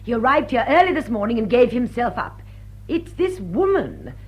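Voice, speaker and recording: Haughty, high-voiced society lady. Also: wildly swooping pitch, normal speed, slightly rough, exaggerated voice. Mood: angry, resolute. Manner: imperious, emphatic. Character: indignant, haughty English society lady, stern hostess. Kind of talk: stage-comedy radio drama acting.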